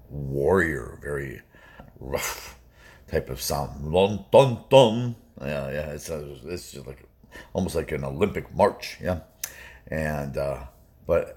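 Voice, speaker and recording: Guy with a super deep baritone doing a music reaction, rambly, lots of ums, really leans on his points. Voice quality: low baritone voice. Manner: rambling and emphatic, many fillers. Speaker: music reaction video host. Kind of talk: casual reaction commentary.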